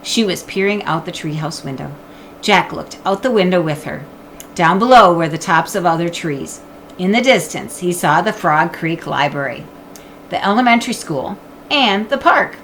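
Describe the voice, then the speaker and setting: A woman narrating a children's book in an expressive storytelling style. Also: fairly low pitch, normal speed, slight background noise, low-quality recording. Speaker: audiobook reader.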